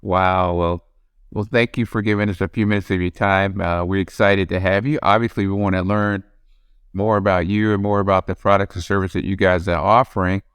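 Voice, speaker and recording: A man's voice: low-pitched, steady tone, brisk, clear, resonant tone, no echo, clean studio sound. A man hosting an interview podcast, asking his guest questions in an easygoing way. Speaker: podcast host. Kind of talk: interview host.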